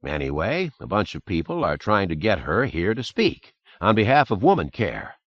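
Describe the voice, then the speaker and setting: A man's voice: low-pitched, wildly swooping pitch, normal speed, no room sound, dull audio, exaggerated voice. A man voicing an irritable, curt older neighbor.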